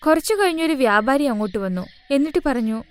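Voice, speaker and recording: High-pitched woman storyteller. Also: animated, fast, dry recording. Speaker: narrator. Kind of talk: scripted moral story narration.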